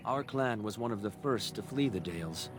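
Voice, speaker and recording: An actor playing a proud, kindly elven master craftsman in a fantasy game, speaking in a measured, formal storytelling way. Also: fairly low pitch, lively intonation, close to an everyday voice. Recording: loud music behind the voice. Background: game music.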